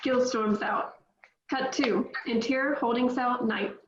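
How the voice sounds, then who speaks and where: A woman's voice: a little high, fairly even intonation, a little slow, a little hiss or hum. A woman reading scripted stage directions as narrator at a table read, in roomy, slightly dull audio.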